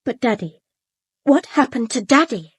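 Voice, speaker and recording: Posh young brit woman, brittle n caustic, kinda high husky voice, radio play. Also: expressive, a little slow, no echo, heavily acted. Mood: anxious. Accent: British. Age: young adult. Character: brittle, bitter young upper-class woman in her twenties. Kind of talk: British radio drama acting.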